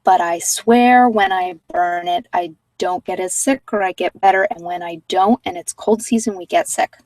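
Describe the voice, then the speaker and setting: Female ASMR meditation host, casual and rambling, soft-spoken with near-whispered moments, dry sound, slightly off mic. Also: fairly low pitch, normal speed, no room sound, recorded from a distance, rough recording. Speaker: host of guided meditation sessions.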